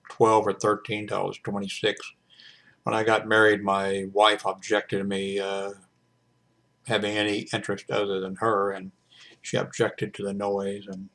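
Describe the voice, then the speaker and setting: Low-voiced older man, halting talk. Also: creaky, a bit bright. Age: 70s. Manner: halting, hesitant delivery with mid-sentence pauses. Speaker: radio hobbyist reviewing a portable shortwave radio. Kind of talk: casual unscripted product review vlog. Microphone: webcam microphone.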